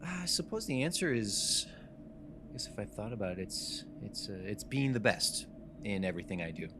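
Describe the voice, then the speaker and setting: A man's voice: lowish voice, animated, normal speed. A man playing a self-important, money-driven office boss in a radio drama, businesslike and self-satisfied, over a noisy background. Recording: clearly noisy room background.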